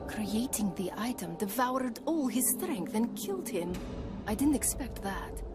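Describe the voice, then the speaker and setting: An actress playing a cool-headed female companion in a video game, with arch, ironic dark wit. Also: fairly high pitch, lively intonation, measured pace. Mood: sober. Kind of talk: video game cutscene acting. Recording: loud music under the voice.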